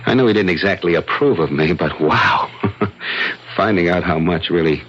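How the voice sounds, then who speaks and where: A deep-voiced, bitter, mocking twin. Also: male voice, normal speed, a bit bright, slight background noise. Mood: sardonic. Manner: sardonic, theatrical, flowery. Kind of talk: old-time radio drama acting. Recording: dull, lo-fi radio drama recording.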